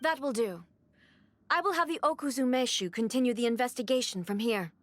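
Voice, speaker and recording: A woman's voice: on the high side, close to an everyday voice. A woman playing a stern regal ruler. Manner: formal, measured, commanding. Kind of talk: video game voice acting. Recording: game audio with soft music.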